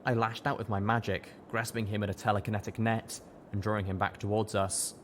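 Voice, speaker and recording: Lowish male voice reading a story aloud, steady and dramatic, faint hiss behind. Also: fairly quick. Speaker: audiobook reader. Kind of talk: first-person fiction narration.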